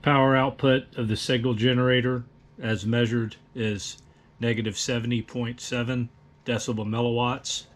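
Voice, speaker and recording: A man's voice: mid-range voice, little pitch movement, normal speed. A man presenting an explanatory equipment demonstration in a methodical, instructional manner. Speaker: presenter of radio equipment tutorial.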